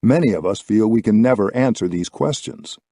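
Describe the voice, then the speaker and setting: Deep, mellow male audiobook reader. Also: animated, fairly quick, no room sound, clean studio sound. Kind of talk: scripted audiobook narration of spiritual teaching.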